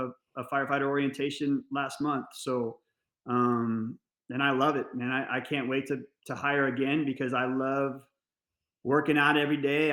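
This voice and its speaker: A middle-aged man, a fire service guest on a podcast, talking earnestly and haltingly with many restarts. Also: medium pitch, a bit bright. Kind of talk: casual interview chat. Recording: echoey room.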